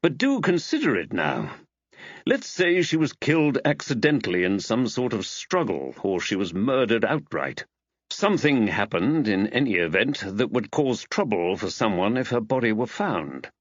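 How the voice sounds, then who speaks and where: A man voicing an inquisitive, calmly speculating man in conversational audiobook dialogue. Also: lowish voice, moderate pace, no echo, lo-fi sound. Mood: speculative.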